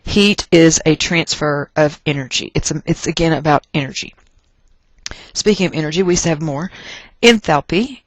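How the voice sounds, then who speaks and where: A teacher from Texas with an American accent, lecturing through notes in an emphatic, folksy way with joking asides and deliberate stress on key points. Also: medium pitch, no echo. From Texas, United States. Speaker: chemistry teacher. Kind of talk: lecture narrated over class notes.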